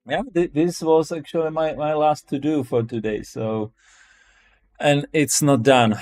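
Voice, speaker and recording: Halting Czech-accented man, casual streamer. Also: a little high, steady tone, darkish tone. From Czech Republic. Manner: halting, repeats and restarts phrases. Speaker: live-stream host, web developer. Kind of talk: casual live-stream chat.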